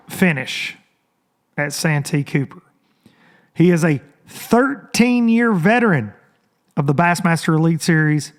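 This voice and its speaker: Hoarse, rambling podcast host man. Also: high voice. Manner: loose, rambling, talks to listeners as y'all. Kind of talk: casual podcast monologue. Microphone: studio microphone.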